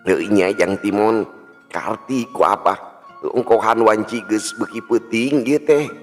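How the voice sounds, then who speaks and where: A man's voice: lowish voice, measured pace, voice pushed up, close to an everyday voice. A man with a Sundanese accent voicing a worried, humble old village father in a folk tale. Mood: resigned, grateful. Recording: long hall reverb with music.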